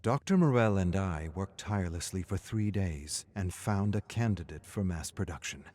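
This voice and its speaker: A man voicing a weary survivor scientist recording game audio logs, measured and grave, his phrases trailing off into a hush. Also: sits low, lively intonation. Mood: cautiously relieved. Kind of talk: scripted dramatic audio log narration.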